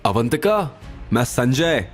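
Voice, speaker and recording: Audio drama villain, acted by a male narrator: obsessive, entitled man, mid-pitched, swinging intonation. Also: big pitch swings, takes it slowly, soft background music. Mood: pleading. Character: obsessive menacing male stalker, pleading and entitled. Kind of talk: audio drama character dialogue.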